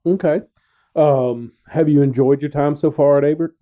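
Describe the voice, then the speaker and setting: Dark-voiced middle-aged male host. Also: fairly high pitch, fairly even intonation, normal speed. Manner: rambling, joking, many restarts. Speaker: radio show host. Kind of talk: casual radio interview.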